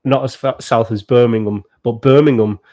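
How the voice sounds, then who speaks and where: A chatty man with a northwest English accent, co-hosting a casual podcast. His pitch sits in an ordinary middle range. He talks loosely, laughs easily and slips into quick impressions. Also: fairly even intonation, dark tone. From England. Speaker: podcast co-host. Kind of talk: casual chat.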